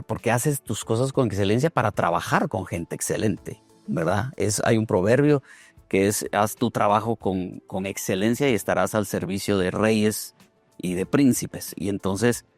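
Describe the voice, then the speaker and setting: A man hosting a conversational interview, philosophizing in a halting way and repeating words as he thinks. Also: lowish voice, darkish tone, no room sound. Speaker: podcast host interviewing a guest.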